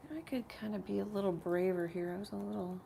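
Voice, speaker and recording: A woman with a slightly rough voice hosting a casual live painting tutorial, thinking aloud in a rambling way as she works. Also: mid-range voice, fairly even intonation, fast talker, mellow, a little hiss or hum. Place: home art studio.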